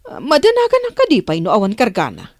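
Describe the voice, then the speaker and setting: A woman's voice: a little high, wildly swooping pitch, fast, dry recording, clean studio sound, heavily acted. A woman playing a guarded, flustered middle-aged village mother in a radio drama. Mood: flustered, evasive.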